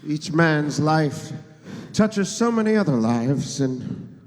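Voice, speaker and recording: A man in a live radio play voicing a gentle, childlike, earnest guardian angel with a deliberate, plaintive delivery. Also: fairly high pitch, animated. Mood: gentle, solemn. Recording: live hall, long reverb.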